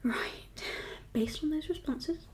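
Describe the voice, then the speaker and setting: A woman speaking in a soft, breathy whisper with a calm, reassuring, unhurried manner, as a doctor in an ASMR medical roleplay. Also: sits high. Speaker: ASMR performer playing a doctor.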